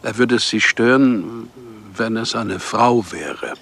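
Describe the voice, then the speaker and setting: A man's voice: fairly low pitch, slow pace, fairly bright tone, full character voice. An actor playing a polite, understated male city archivist in a German radio crime drama. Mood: probing, calm. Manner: courteous, understated. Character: polite, middle-aged male city archivist, discreetly offering murder jobs. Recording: clear ambient noise behind the voice.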